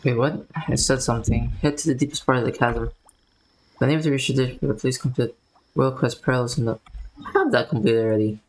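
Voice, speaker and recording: Very low-voiced woman streaming a game. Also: a little fast, slight background noise, low-quality recording. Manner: informal, musing aloud. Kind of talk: casual gameplay commentary. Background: faint game music.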